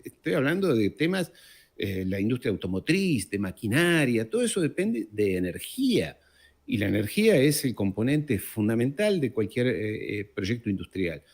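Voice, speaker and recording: A man's voice: mid-range voice, sing-song, moderate pace. A middle-aged man from Uruguay and France giving conversational political commentary as an interview guest, stressing words emphatically and repeating them. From born in Uruguay, raised in France.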